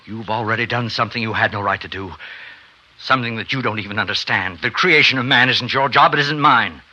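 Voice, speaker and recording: An actor in a 1950s radio drama playing a grave, devout friend, speaking in a stern, earnest, theatrical way. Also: male voice, medium pitch, brisk, bright, forceful tone. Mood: reproachful. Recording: faintly noisy 1950s radio recording.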